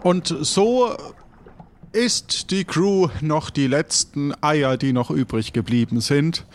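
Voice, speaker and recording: Slow-paced man narrating an adventure. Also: on the high side, noisy background. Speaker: game master and narrator. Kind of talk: narrating an improvised audio adventure.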